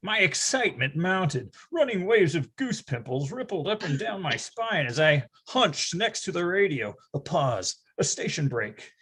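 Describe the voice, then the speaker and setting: A performer playing an excitable, grandiose narrator recalling a nine-year-old's boyhood in a theatrical readers theatre style. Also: mid-range voice, measured pace, few pauses, slightly rough, full character voice. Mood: impatient.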